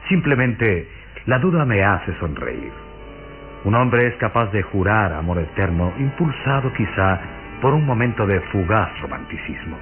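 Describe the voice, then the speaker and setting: An actor playing a sinister vampire count in a radio drama, courtly, measured and mocking. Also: male voice, lowish voice, sing-song. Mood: mocking, insinuating. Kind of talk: Mexican radio drama acting. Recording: muffled old radio recording with music.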